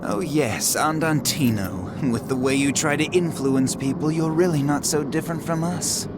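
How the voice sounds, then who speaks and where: An actor playing a cold, power-hungry villain ruler in a video game cutscene, with theatrical, condescending, drawn-out mocking phrasing. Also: male voice, a little high, close to an everyday voice. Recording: loud orchestral music behind the voice.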